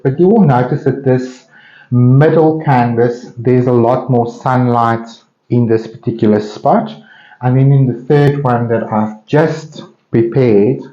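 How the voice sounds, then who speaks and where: A man with an Afrikaans South African accent talks casually as he works at his art. His delivery is slow and mellow, with pauses as he thinks aloud. The sound is roomy, as if in an indoor studio. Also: medium pitch. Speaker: painter hosting an open studio session. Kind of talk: casual musing while painting. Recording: roomy, slightly dull sound.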